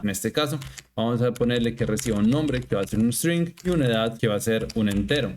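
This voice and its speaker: A young adult man, a software engineer, casually talking through code step by step in a screen-recorded programming tutorial. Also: medium pitch, a little hiss or hum. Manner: casual step-by-step explaining, thinking aloud.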